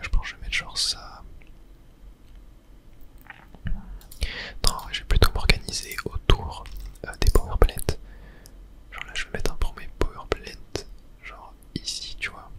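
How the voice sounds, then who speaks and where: An ASMR gamer commenting on their own play in a breathy, unvoiced whisper, casually muttering to themselves with slangy asides. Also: sharp tone. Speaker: video game streamer narrating own play.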